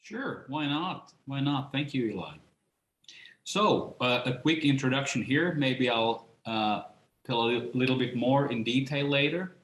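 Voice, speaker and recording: A man with a Finnish accent introducing himself informally as a guest speaker, pausing and repeating words hesitantly. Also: medium pitch, lively intonation, a bit bright. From Finland. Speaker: guest speaker, philosopher and writer. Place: indoors, on a video call. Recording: roomy, echoing video call.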